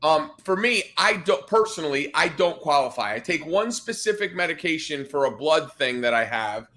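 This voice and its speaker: Man, podcast host, high voice, casual rambling chat, playful. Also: lively intonation, moderate pace, bright, forceful tone. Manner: rambling, repeats words, playful teasing.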